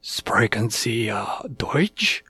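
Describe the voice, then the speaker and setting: An adult storyteller voicing a great tiger, a kindly and formal king of cats who speaks deliberately. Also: mid-range voice, big pitch swings, vocal fry, slightly rough, no room sound, whispered, exaggerated voice. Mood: puzzled, patient. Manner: formal, deliberate, gently regal.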